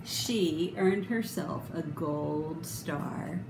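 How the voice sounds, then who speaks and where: A woman in her fifties reading aloud to children expressively, with deliberate, dramatic emphasis. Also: deep voice, vocal fry. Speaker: storybook reader. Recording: roomy, noticeable background noise.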